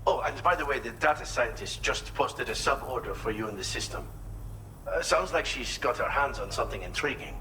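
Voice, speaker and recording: An actor playing a thoughtful, helpful mechanic in a video game, explaining things in a measured, slightly hesitant way. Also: male voice, mid-range voice, lively intonation, bright, forceful tone, slight background noise. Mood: earnest, friendly. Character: thoughtful male inventor mechanic, earnest and helpful. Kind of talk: video game cutscene dialogue.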